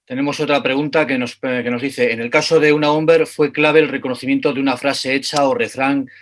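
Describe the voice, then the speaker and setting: A man's voice: mid-range voice, fairly even intonation, brisk. A man moderating a seminar session, reading audience questions aloud with some hesitations.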